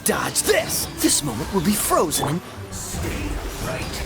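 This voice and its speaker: A stern anime game fighter, barking curt commands. The voice is gravelly and swings in pitch, with music and combat crashes behind. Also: huge pitch swings, slow, exaggerated voice. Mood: commanding. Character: stern, commanding fighter shouting battle calls. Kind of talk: anime game battle barks.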